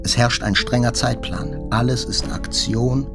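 A man narrating a literary first-person story for a radio drama in a measured, reflective manner. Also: medium pitch, fairly even intonation, gravelly, over loud music.

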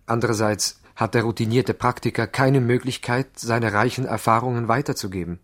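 Male actor as young doctor, Swiss German accent, earnest, respectful, measured, formal, medium pitch, 1970s radio play. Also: fairly even intonation, natural voice. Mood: earnest, grave.

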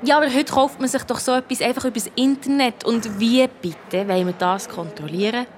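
A woman playing a bold young girl detective in a children's radio play, pert and quick to retort. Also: a little high, a little hiss or hum, close to an everyday voice.

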